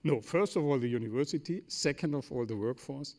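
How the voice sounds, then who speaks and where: A man's voice: mid-range voice, brisk, mellow. A man from Germany with a German accent and German as his native language, speaking semi-formally as a company executive on a forum panel, emphatic, in short sentences with rhetorical questions.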